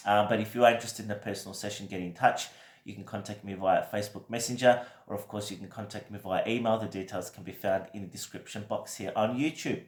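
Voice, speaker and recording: Croaky man giving a gentle tarot reading. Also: fairly low pitch, steady tone, fast talker, reverberant. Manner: gentle, reassuring, frequent fillers and "right?". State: croaky from a cold. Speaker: tarot reader. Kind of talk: weekly tarot reading to camera.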